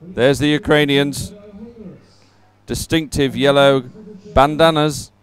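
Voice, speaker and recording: A man's voice: mid-range voice, steady tone, takes it slowly. A man giving live race commentary in an informal, conversational and encouraging way. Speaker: sports commentator.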